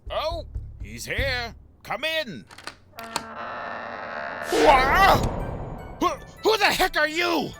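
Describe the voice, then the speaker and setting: A man's voice: very high-pitched, very animated, extremely slow, vocal fry, pauses often, in a large hall, over music, exaggerated voice. Man playing a swooping, greedy museum businessman. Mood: alarmed. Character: greedy, blustering male museum investor. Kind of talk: dramatic manga audio drama acting.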